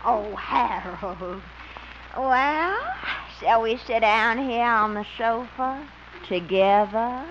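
A coy, slow-talking sweetheart. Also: female voice, on the high side. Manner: coy, drawn-out. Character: flirtatious lady friend, coy and romantic. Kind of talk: 1950s radio sitcom acting. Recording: dull old radio transcription with hiss.

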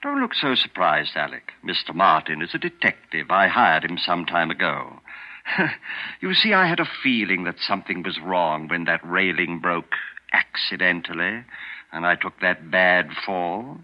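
A man's voice: sits low, very animated, normal speed. An actor playing an older man in a 1940s radio thriller, speaking with urgent, dramatic delivery. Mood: calm. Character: elderly man, hunted by a scheming son-in-law. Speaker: protagonist and narrator. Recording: dull old radio broadcast with music.

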